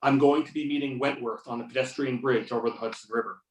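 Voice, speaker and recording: A man's voice: medium pitch, fairly even intonation, fast, natural voice. A man playing a determined investigator in readers theater, matter-of-fact and commanding, over a remote call. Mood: firm, serious. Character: Beckett, determined male investigator giving orders. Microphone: remote videoconference or phone call. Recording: roomy, distant mic on conference call.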